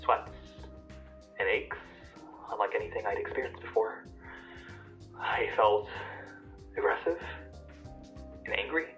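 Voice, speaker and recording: A man playing an anonymous source recalling a dangerous high in halting, intense words, as a dull lo-fi recorded message. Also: animated, a bit bright, faint music behind. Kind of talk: audio drama acting.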